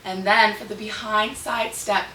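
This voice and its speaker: A slightly hoarse woman hosts a dance tutorial, giving step-by-step instructions and counts in short rhythmic cues. Her medium-pitched voice comes from a distance in an echoey room, with noticeable background noise. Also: fairly even intonation, measured pace, pushed and bright. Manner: clipped rhythmic counting and cueing of moves.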